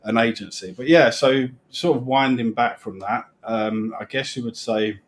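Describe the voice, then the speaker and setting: A middle-aged man with a British accent, chatting as a guest on a live stream and rambling with restarts that trail off quietly. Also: medium pitch, moderate pace. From England. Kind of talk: casual live-stream chat.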